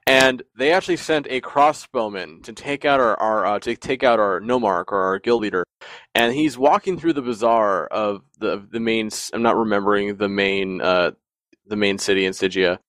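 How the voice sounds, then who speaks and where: Low-voiced man rambling through stories. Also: moderate pace, dry recording. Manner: rambling, animated storyteller who often laughs. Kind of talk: casual podcast chat.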